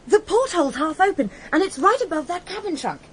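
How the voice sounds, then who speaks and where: A woman's voice: extremely high pitch, big pitch swings, a little fast. An actress playing an earnest young woman passenger in a radio drama, speaking eagerly and with feeling.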